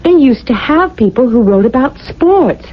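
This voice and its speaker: An actress playing a tender, wistful wife from a regimented future society in a radio drama, speaking earnestly in short, halting phrases. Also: female voice, high-pitched, very animated. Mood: wistful, playful. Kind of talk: 1980s radio drama acting. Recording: dull vintage radio broadcast with music.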